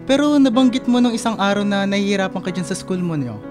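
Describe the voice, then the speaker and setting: Radio drama: actor as young male best friend and boyfriend, joking, coaxing, expressive, clear music bed. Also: high voice, fast talker. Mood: persuasive. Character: playful, teasing young boyfriend and loyal best buddy.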